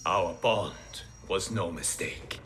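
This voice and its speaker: Noble warrior hero, deliberate pace, earnest, game cutscene, cavernous reverb. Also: male voice, low voice, fairly bright tone. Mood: solemn. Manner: solemn, earnest, measured. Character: noble, resolute male warrior hero with divine power. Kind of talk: dramatic video game hero acting. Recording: long hall reverb, music behind.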